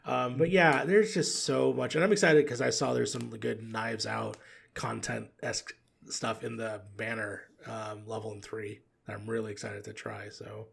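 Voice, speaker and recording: A man chatting casually as a podcast guest, telling rambling stories in slangy language with a gravelly, husky voice. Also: fairly low pitch, flat delivery, moderate pace. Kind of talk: casual gaming chat.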